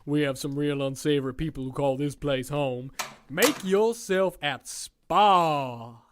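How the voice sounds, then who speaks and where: A sinister warden of a prison circus, played by an actor, speaking at a middling pitch with a theatrical drawl. The delivery is glib and folksy, full of smug mock politeness, in the style of a scripted audio drama. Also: unhurried, background noise, close to an everyday voice. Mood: chatty, teasing.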